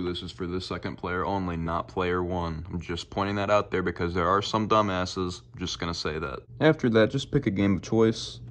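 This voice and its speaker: A raspy young man who just woke up, giving a laid-back, blunt tutorial that he peppers with casual swearing. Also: low voice, slight background noise. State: just woken up. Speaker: retro gaming YouTuber.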